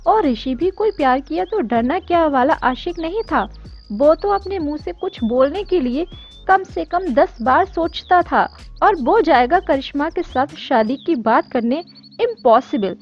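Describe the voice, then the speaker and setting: A woman's voice: sits high, lively intonation, a little slow. A woman reading a scripted story as narrator. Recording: dull lo-fi audio with music. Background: background music.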